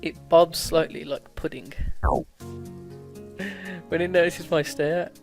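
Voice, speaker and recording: Stumbling gamer reading aloud. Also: male voice, on the high side, fairly even intonation, slow, creaky, faint music behind. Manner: stumbling, self-correcting reading with frequent laughs and swearing. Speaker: let's play host. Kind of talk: casual gameplay commentary, reading visual novel aloud.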